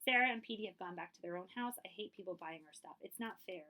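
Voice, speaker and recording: A woman reading a children's picture book aloud as a librarian, in an expressive, measured storytelling way. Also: medium pitch.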